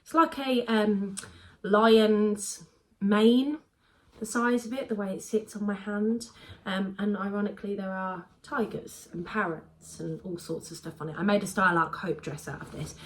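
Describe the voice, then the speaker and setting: A woman's voice: medium pitch, normal speed, slight background noise. A woman chatting casually to camera about her sewing projects, a bit congested and bunged up, rambling with lots of "um"s. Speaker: sewing vlogger.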